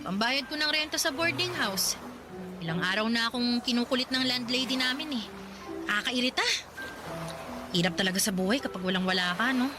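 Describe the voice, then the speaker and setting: A woman's voice: fairly high pitch, fairly quick, long pauses, natural voice. An actress playing a broke young female college student who complains about work and money, in a radio drama with music underneath. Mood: grumbling. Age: young adult. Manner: chatty, grumbling about her hardships. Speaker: working student character.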